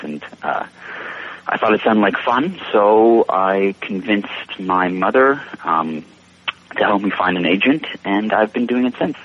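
A seventeen-year-old Canadian man answering casual interview questions politely, pausing as he thinks through his phrasing. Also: deep voice, lo-fi sound, a little hiss or hum. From Toronto, Canada. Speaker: interview guest, young actor.

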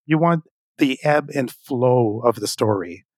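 A man's voice: mid-range voice, animated, a little slow, no echo, clean studio sound. A man hosting a podcast interview in a conversational style. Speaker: podcast host.

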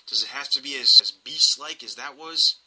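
A man with a sharp, very bright voice at an average pitch. He hosts a podcast and talks film casually, pressing his opinions with emphasis. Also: normal speed, poor audio. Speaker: podcast host.

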